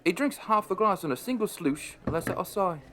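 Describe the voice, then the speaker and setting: A man's voice: high-pitched, expressive, fairly quick, bright, forceful tone. An actor playing a wry, chatty pub landlord, narrating his story in a conversational way for an audio drama. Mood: curious.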